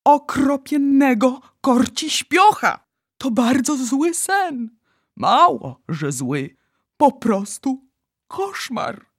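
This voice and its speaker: A woman voicing an excitable, bossy male giant in a storybook, exclaiming theatrically in garbled made-up words. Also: mid-range voice, slow, slightly rough, no echo, studio quality. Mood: anguished, angry. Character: excitable male giant dream-catcher, bossy, dramatic, word-mangling.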